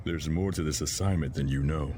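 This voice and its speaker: A man's voice: very deep voice, a little fast, warm tone, a little hiss or hum. A man playing a stern, sceptical senior spy chief in an audio drama, curt and dismissive.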